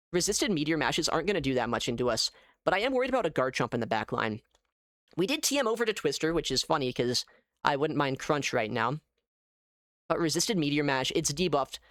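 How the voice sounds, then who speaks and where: A man giving casual live gameplay commentary as a streamer, thinking aloud in an animated way. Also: on the high side, dry recording, studio quality. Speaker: gaming streamer.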